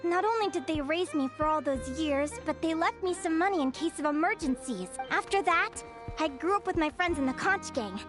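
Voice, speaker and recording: A woman's voice: very high voice, expressive, moderate pace, over music. A woman playing an earnest, bookish young fae naturalist who tells her story in an articulate, thoughtful way, as video game dialogue. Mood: reflective.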